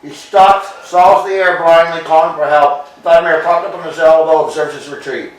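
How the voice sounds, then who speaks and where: A man's voice: a little high, normal speed. A man narrating stage directions from a script during a staged play reading. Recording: very echoey room, distant mic.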